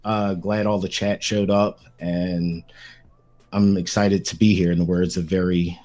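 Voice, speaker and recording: A man chats as a guest on a podcast, easygoing and quick to make light jokes. His voice sits on the lower side. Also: level tone, a little mellow. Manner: easygoing and grateful, light joking. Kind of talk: casual chat.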